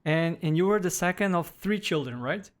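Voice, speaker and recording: A woman's voice: fairly low pitch, animated, moderate pace. A woman interviewer who prompts her guest with brief, direct questions.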